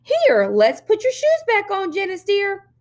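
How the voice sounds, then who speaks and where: Storybook role: sweet, crafty small girl played by a woman, high voice, childishly polite and pleading. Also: wildly swooping pitch, a little mellow, full character voice. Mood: sweetly pleading. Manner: drawn-out, sugary, innocent pleading.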